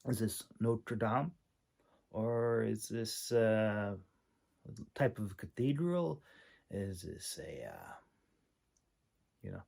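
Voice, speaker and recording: A man giving an informal, unscripted critique in a halting, hesitant way, with many pauses and repeated words. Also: fairly low pitch, vocal fry, a little mellow. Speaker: audiobook narrator and manuscript critic.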